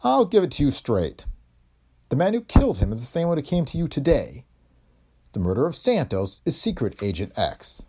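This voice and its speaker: A man voicing a courtly, sinister bearded man who gives formal, clipped orders, in a husky voice. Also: medium pitch, wildly swooping pitch, moderate pace, dark tone, voice pushed up, heavily acted.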